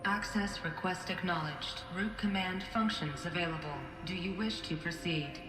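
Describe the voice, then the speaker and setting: A lowish, echoing female voice from an actress plays a security computer in a video game. It delivers formal procedural announcements, calm and impersonal throughout. Music sounds behind it. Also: normal speed, close to an everyday voice.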